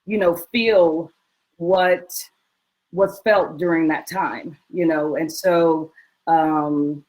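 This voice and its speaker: A woman's voice: sits low, steady tone, very slow, a little mellow, low-quality recording. A woman, a guest performer, reflecting earnestly and hesitantly in an informal panel discussion.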